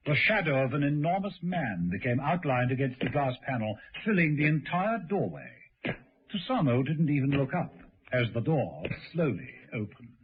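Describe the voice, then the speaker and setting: Animated male radio narrator. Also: mid-range voice, moderate pace, slightly rough. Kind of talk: dramatic scripted radio narration. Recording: dull old radio recording.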